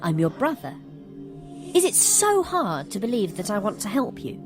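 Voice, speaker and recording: A woman playing a taunting, secretly protective little brother in an audio drama, speaking deliberately. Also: mid-range voice, sing-song, lightly acted. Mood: needling. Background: music.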